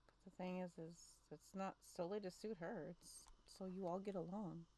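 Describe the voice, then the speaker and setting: A woman's voice: fairly low pitch, steady tone, moderate pace, dark tone. A woman streaming a game, giving casual commentary and reading bits of the text aloud.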